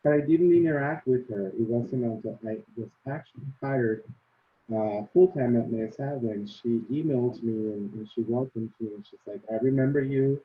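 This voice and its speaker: A man's voice: medium pitch, moderate pace. A man hosting an interview over a video call, friendly and upbeat, with many fillers. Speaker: host, interviewer. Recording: tinny phone-like call audio.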